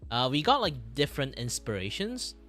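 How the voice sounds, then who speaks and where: A man who speaks English as a second language, chatting casually on a livestream in a halting, repetitive way. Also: mid-range voice, faint music behind. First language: not English. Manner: halting, repetitive, animated reactions. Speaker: streamer and animator.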